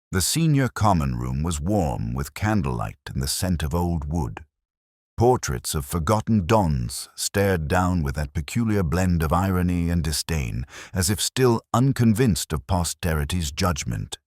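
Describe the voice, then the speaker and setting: A man with an extremely low voice reads a reflective first-person detective tale. His delivery is measured and brooding, with lively movement in pitch. The recording is dry and close, with very little room sound. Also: no room sound. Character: world-weary male police inspector recounting a case. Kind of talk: scripted first-person detective story narration.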